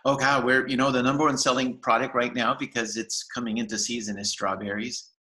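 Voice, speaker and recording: A man's voice: mid-range voice, level tone, a little fast, pushed and bright. A man in his fifties, a market manager, talking eagerly over a video call with many fillers and restarts. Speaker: farmers market general manager. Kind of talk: casual video-call interview. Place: home room with shelves, indoors. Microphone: laptop webcam on video call.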